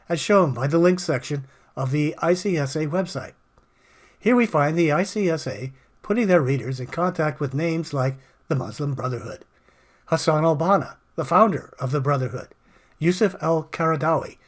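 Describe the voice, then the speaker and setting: A man narrating scripted political commentary as a presenter. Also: fairly high pitch.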